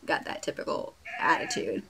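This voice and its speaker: A woman's voice: moderate pace, crowd noise, low-quality recording. A woman in her late twenties from Puerto Rico, raised in Texas, chatting casually to camera at home in a rambling way with lots of fillers. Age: late 20s. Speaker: beauty vlogger. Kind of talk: casual get-to-know-me vlog.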